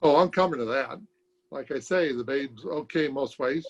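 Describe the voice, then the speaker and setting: A man's voice: mid-range voice, normal speed, gravelly, muffled recording. A man playing a folksy, wisecracking, stubborn barber in an old-time radio comedy, recorded at home over a video call. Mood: droll. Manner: folksy, colloquial, chuckling drollery.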